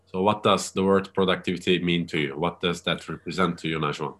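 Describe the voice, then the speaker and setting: A man hosting an interview, speaking in a polite, measured and reflective manner. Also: low-pitched, fairly even intonation, fairly bright tone. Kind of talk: hosted interview.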